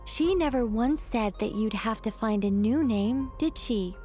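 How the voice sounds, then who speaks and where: A woman playing a wise, calm companion who speaks thoughtfully and gently guides others in a game cutscene. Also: a little high, measured pace, soft background music, close to an everyday voice. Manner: thoughtful, reflective, gently guiding.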